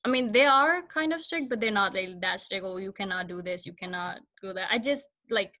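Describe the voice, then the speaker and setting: Bright-voiced young woman from Pakistan. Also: mid-range voice, a little fast. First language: Punjabi. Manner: soft-spoken and hesitant, many fillers and restarts. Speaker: guest. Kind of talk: casual interview chat.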